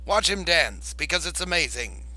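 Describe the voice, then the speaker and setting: A man voicing a mocking male goblin lich who hawks loudly like a carnival barker in a roleplay game. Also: a little high, very animated, moderate pace, pitched above the performer's own voice, full character voice, poor audio. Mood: showy. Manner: loud carnival barker hawking.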